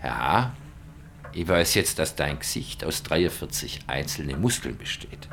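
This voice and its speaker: A gravelly, matter-of-fact private investigator. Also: male voice, extremely low pitch, sing-song, unhurried, a bit bright, a little hiss or hum, full character voice. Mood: explaining, playful. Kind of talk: naturalistic radio drama dialogue.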